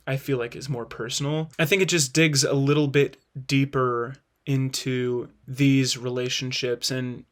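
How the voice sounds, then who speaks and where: A man's voice: mid-range voice, fairly bright tone. A man hosting a casual video review, thinking aloud and hedging his opinions as he goes. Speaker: host.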